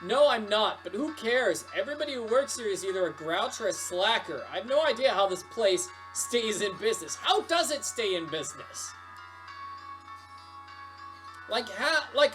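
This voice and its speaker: A woman's voice: medium pitch, fairly bright tone, close to an everyday voice, poor audio. A woman voicing a fed-up, sarcastic young male pizza worker who complains bitterly in a story. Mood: sullen, sarcastic. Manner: exasperated, complaining.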